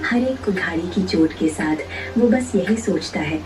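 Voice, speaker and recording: A woman's voice: fairly low pitch, animated, normal speed, recorded from a distance, music in the background. A woman narrating a moral story in a scripted storytelling style.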